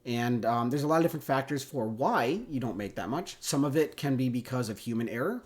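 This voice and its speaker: A man's voice: mid-range voice, brisk. Congested man giving a casual chemistry lecture. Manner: casual, checks in with "right?" and "okay?". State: congested from a cold. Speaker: chemistry teacher. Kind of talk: recorded classroom lecture.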